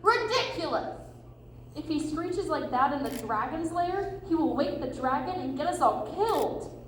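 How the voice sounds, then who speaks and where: A woman's voice: high voice, lively intonation, moderate pace. A woman playing a scornful, blunt dwarf adventurer with a sarcastic, emphatic manner, in a readers theatre performance. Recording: echoey room from far off, noisy.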